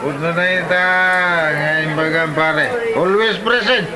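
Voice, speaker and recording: A man's voice: a little high, measured pace, close to an everyday voice. A man with an Ilocano accent acting an easygoing, matey village drinking buddy in a comedy drama. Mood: friendly.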